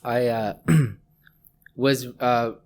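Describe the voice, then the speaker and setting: A man from Canada, a scientist guest, telling his story in a relaxed way with many fillers. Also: mid-range voice, very slow. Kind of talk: interview answers. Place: office room with a table. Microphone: desk mic on a boom arm.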